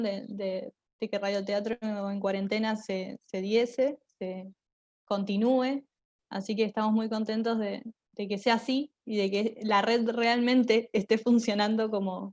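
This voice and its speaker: A woman's voice: medium pitch, darkish tone. A woman with an Argentine accent speaking as a guest, giving informal, anecdotal answers about her work. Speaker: guest, radio theatre maker.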